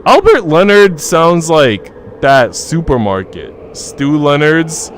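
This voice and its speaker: A man's voice: fairly high pitch, very animated, takes it slowly, slight background noise. A man live streaming in a joking, teasing, slangy way, switching between casual chat and reading story narration aloud. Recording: background music under voice. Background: music.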